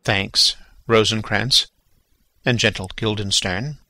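An actor playing a stately, commanding king in a classical drama reading, formal and measured. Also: fairly low pitch, pushed and bright, no echo, heavily acted, low-quality recording. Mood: gracious, grave.

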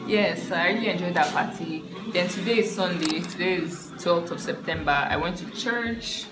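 A young woman, a student vlogger giving a relaxed, rambling life update to her laptop camera in a small room. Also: on the low side, normal speed, very noisy. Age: young adult. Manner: relaxed, rambling, repeats herself with fillers. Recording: echoey room with music behind.

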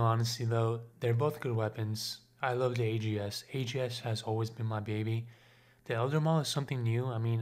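A man's voice: medium pitch, darkish tone. A young man of Lebanese Armenian background giving casual gameplay commentary at home, chatting to viewers and asking for comments. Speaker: gaming YouTuber.

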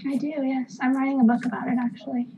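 High-pitched pleading woman guest. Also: level tone, quick pace, vocal fry, mellow, echoey room, distant mic, slight background noise, poor audio. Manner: pleading, self-pitying, dramatic. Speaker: talk show guest.

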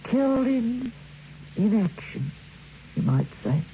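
A woman's voice: fairly high pitch, sing-song, slow, exaggerated voice. An actress playing a gossipy, plain-spoken, working-class woman in an old radio drama, hesitant and matter-of-fact. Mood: pensive. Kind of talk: old-time radio acting. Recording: dull, noisy old radio recording.

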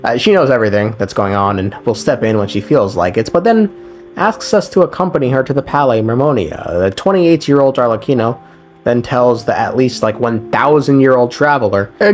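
A young man recaps a game story in a casual but scripted way. His mid-pitched voice swings up and down in a lively fashion, with dry, irreverent, slangy asides. Faint guitar music plays underneath. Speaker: game lore video narrator.

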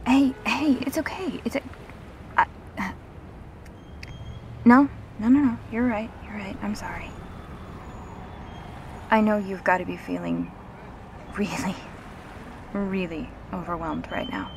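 A woman playing a gentle, reassuring revenant in an intimate audio roleplay, speaking earnestly and haltingly. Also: medium pitch, big pitch swings, a little hiss or hum. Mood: soothing, earnest. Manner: halting, earnest, soft-spoken pauses.

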